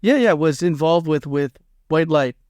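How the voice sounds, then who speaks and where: A man's voice: on the high side, expressive, normal speed, a little mellow, clear, resonant tone, no echo, clean studio sound. A man from Thailand, a post-producer, chatting as a podcast guest and repeating words as he talks. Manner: laughs often, repeats words. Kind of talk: casual interview.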